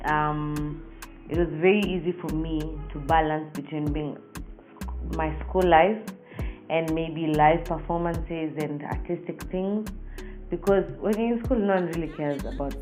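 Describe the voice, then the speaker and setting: Woman, Kenyan accent, on the lower side, casual interviewee recounting personal stories with animated emphasis, faint music underneath. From Nairobi, Kenya. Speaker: singer being interviewed.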